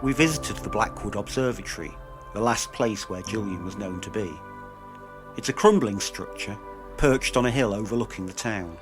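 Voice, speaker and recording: A man's voice: on the low side, normal speed, music in the background. A man narrating a scripted true-crime podcast as investigator, solemn and dramatic.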